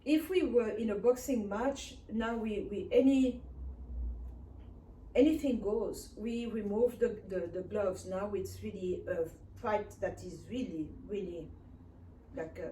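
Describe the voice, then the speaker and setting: A woman's voice: mid-range voice, unhurried, dark tone. Earnest woman minister, echoey room. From Africa. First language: Swahili. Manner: earnest and emphatic, repeating phrases. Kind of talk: personal testimony sermon. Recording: echoey room, distant mic, faint hiss.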